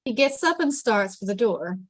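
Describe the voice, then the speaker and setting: A woman's voice: fairly high pitch, very animated, moderate pace, dry recording. A woman reading out stage directions as the narrator of a sitcom table read. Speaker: script narrator.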